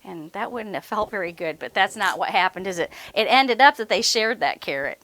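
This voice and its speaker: A woman's voice: mid-range voice, brisk, dry recording. A woman hosting a story time, reading and talking expressively to young children and often asking them questions. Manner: animated, asks the children questions. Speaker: library story time host.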